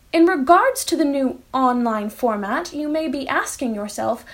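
High-voiced woman reading a comic monologue. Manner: mock-grand, formal. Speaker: writer reading own comic piece.

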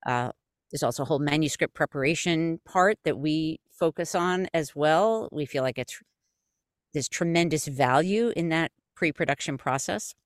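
A woman guest on a podcast explaining how her audiobook company works, steady and explanatory with many fillers. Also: sits low, moderate pace, no echo, studio quality. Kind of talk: casual interview chat.

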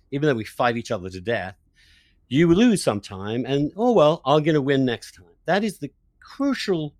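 A man's voice: medium pitch, darkish tone, dry recording. A man hosting an opinionated political discussion, arguing his points emphatically. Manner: emphatic, argumentative. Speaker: podcast host, interviewer.

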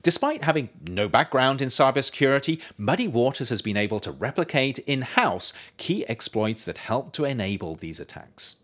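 A man reading scripted nonfiction as its author and narrator, in a measured, emphatic, explanatory manner. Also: mid-range voice, big pitch swings, fairly bright tone.

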